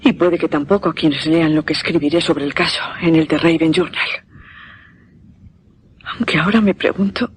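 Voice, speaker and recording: An actor with a Castilian Spanish accent playing an earnest journalist, reflective and measured, in a radio drama. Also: male voice, high-pitched, a little hiss or hum, close to an everyday voice. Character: earnest journalist pondering a macabre report.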